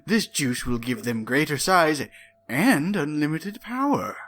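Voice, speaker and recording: A man's voice: a little high, very animated, measured pace, a bit bright, exaggerated voice. A man voicing a gloating male villain scientist with a grandiose, mock-dramatic delivery.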